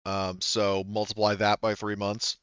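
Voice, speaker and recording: A man's voice: fairly low pitch, monotone, unhurried, a bit bright, clear, resonant tone, no echo, muffled recording. A man running a tabletop game on a voice call, rambling and full of fillers and restarts. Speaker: game master.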